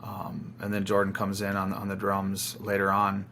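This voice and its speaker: A man's voice: sits low, monotone, normal speed, pushed and bright, slight background noise. A man chatting casually as an interviewed musician, rambling informally and piling up phrases. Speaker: band singer-songwriter being interviewed.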